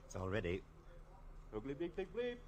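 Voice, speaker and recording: A man's voice: mid-range voice, wildly swooping pitch, moderate pace, crowd noise. An actor playing a fussy, theatrical older male photographer in an old radio drama, with an effete, gushing, sing-song manner. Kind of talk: 1950s radio drama acting.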